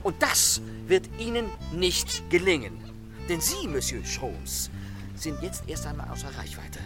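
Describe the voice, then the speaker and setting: A gentleman thief of great self-assurance in a radio play. His fairly high voice swings animatedly between polite mockery and command. Music plays behind him. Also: wildly swooping pitch, a little slow. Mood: mocking, commanding. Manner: theatrical, urbane, playfully threatening. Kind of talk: German radio play acting.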